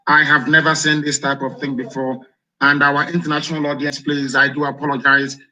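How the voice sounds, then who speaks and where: A man's voice: fairly high pitch, flat delivery, a little fast, sharp tone, low-quality recording. A man with a Nigerian accent, an Igbo native speaker, delivering an impassioned live broadcast monologue full of incredulous rhetorical questions.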